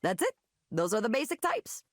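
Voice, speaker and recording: Lively, high-voiced playful young musician. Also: female voice, moderate pace, dry recording, studio quality. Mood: breezy explaining. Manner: breezy, laughing, teasing. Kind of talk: visual novel voice acting.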